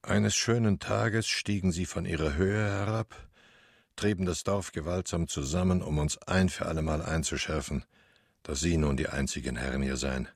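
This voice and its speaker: An actor playing a stern village chronicler of life under occupation, narrating in literary radio drama style. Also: male voice, sits low, steady tone, moderate pace, vocal fry. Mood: grave, sober. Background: faint music.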